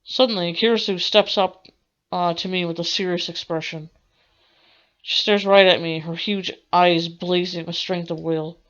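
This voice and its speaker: A woman's voice: lowish voice, fairly even intonation, normal speed, a bit bright. A woman game streamer reading visual novel narration aloud in a theatrical way. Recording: dull audio with faint music.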